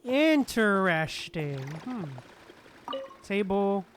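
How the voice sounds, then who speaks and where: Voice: medium pitch, big pitch swings, extremely slow, a little hiss or hum. A streamer of unclear sex giving casual, laid-back gameplay commentary, half muttering to themself. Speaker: streamer playing a game.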